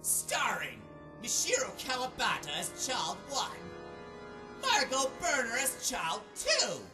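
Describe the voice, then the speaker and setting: A woman announces radio play credits in a dramatic, fairly high voice with huge pitch swings. She reads slowly over clear music. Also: huge pitch swings. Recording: music under the voice.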